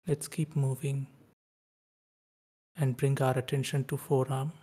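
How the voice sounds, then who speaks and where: Male meditation guide, soft dark tone with little pitch movement, unhurried short instructions separated by long pauses. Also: husky. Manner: slow, calm, spaced-out short phrases with long pauses.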